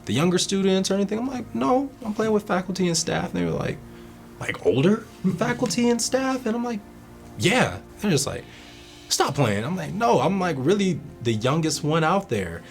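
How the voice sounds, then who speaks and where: Lively young man telling a story. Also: high voice, normal speed, gravelly, soft background music. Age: 20s. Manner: animated, acts out quoted exchanges. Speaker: interviewee, youngest player. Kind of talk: casual anecdote.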